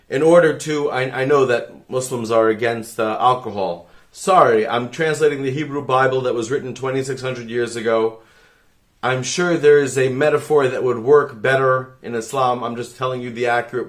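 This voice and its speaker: A man in a middle pitch talks with sudden swells in loudness as he pleads earnestly to the camera. He keeps breaking off into side remarks, then pulls himself back with a fervent, preacher-like push. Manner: rambling, self-interrupting, fervent. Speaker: rabbi. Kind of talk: earnest direct-to-camera appeal.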